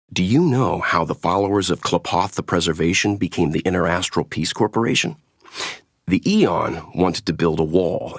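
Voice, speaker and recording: An actor playing a calm, kindly, seasoned space traveler and mentor in a video game, speaking in a measured, reflective way. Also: male voice, low voice, husky, no echo, clean studio sound, heavily acted. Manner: measured, reflective, gently avuncular. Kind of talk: scripted game character voice lines.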